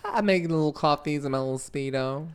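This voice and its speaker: A man's voice: fairly high pitch, fairly quick, crowd noise. Campy, chatty man from Tonga. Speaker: podcast co-host. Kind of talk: casual podcast chat.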